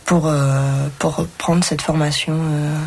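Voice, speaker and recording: A young woman from the Paris area, a shepherdess trainee, telling her story in a halting way full of fillers. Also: low voice, little pitch movement, darkish tone, slight background noise. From Paris area, France. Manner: halting, many "euh" fillers. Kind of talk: personal account.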